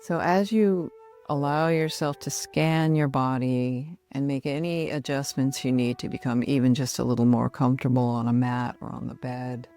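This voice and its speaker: A middle-aged woman guiding a relaxation meditation in a soothing, measured manner with long pauses. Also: rumbling low, fairly even intonation, warm tone, soft background music. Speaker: yoga nidra meditation guide.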